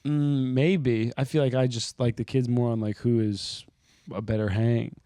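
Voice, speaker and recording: A man with a mellow, mid-pitched voice co-hosts a podcast in easygoing chat. He jokes, teases his partner and keeps asking questions to move things along. The sound is close and dry. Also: steady tone, unhurried, dry recording. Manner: teasing, joking, asks questions. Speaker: podcast co-host. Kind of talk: casual chat about Bible stories.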